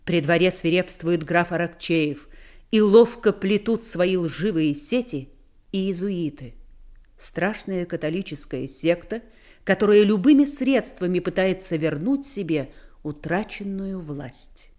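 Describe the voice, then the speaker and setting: A woman with a fairly low voice reads scripted historical narration. She is solemn and declamatory, weighting each phrase with deliberate emphasis. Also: lively intonation, warm tone.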